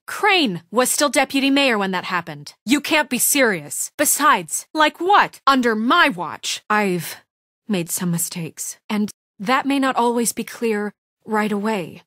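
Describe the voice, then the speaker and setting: A stern but earnest lady official from a fantasy game, highish voice, really expressive, formal and clear wording. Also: dry recording, studio quality. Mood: defensive, then earnest, reassuring. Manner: formal, measured, articulate, emphatic when challenged. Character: earnest, stern female official leading a fantasy town's trial. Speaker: video game voice actress. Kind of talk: dramatic video game dialogue.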